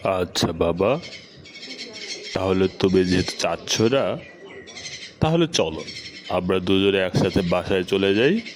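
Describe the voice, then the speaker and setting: A man playing a caring, gently coaxing middle-aged father in an amateur educational cartoon. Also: deep voice, expressive, slow, pauses often, background noise. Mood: gently persuading.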